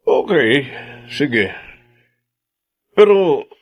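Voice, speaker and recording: A man's voice: fairly high pitch, big pitch swings, drawn-out words, slightly rough, full character voice. A man with a Filipino accent acting as a kindly, fatherly attorney in a radio drama. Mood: kindly, concerned.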